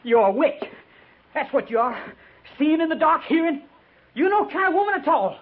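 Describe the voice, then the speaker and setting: An actor playing a suspicious, jumpy young American frontier soldier with rustic speech in a 1950s radio drama. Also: male voice, extremely high pitch, very animated, normal speed, a little hiss or hum, full character voice. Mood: accusing, agitated. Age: young adult. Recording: muffled, thin 1950s radio recording.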